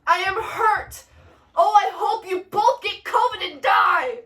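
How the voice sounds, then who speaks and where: A woman voicing a cocky, melodramatic young brawler in an amateur play retelling, declaiming loudly in modern slang. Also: high voice, unhurried. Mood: wounded, bitter. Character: Mercutio, cocky taunting young male brawler, melodramatic when wounded. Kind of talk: amateur retelling of a stage tragedy. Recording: echoey room, distant microphone.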